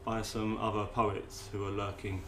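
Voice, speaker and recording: A man's voice: fairly low pitch, level tone, moderate pace. A man hosting a podcast interview, chatty and swearing, often breaking off and restarting his sentences. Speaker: podcast host. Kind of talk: casual interview chat. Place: room with several poets nearby. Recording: echoey room, faint ambient noise.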